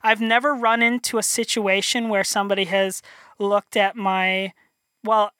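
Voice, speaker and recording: A young man from North Dakota with a high voice, chatting as an interview guest, rambling and self-deprecating with many restarts. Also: level tone, normal speed, bright, forceful tone, clean tone, dry recording, clean studio sound. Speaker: illustrator guest. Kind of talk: casual interview chat.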